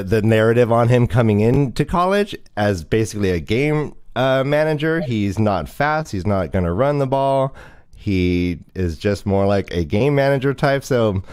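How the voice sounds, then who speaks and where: A man's voice: medium pitch, darkish tone, clean tone. A man hosting a casual fantasy football livestream, chatting away and putting questions to his co-host.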